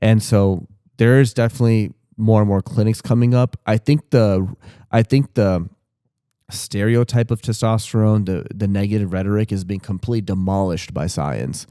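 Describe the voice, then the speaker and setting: A man's voice: on the low side, normal speed, dark tone, clear, resonant tone, dry recording, studio quality. A man in his thirties talking casually on a podcast, candid and rambling, with restarts and casual swearing. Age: 30s. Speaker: podcast co-host.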